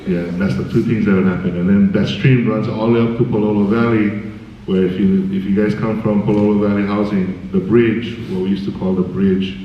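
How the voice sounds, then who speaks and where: Man, very deep voice, joking and easygoing, far from the mic in an echoey hall, dull sound. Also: level tone, fairly quick. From American Samoa, raised in Hawaii. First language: Samoan. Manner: joking, laid-back storytelling. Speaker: panel guest artist. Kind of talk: casual Q&A answers. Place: large hall at a film screening.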